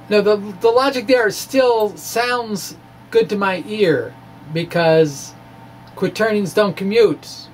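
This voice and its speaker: A man giving an informal, halting, wry reading of his physics blog, often pausing and amused at his own asides. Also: sits high, vocal fry. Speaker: amateur physics blogger. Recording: noisy room recording.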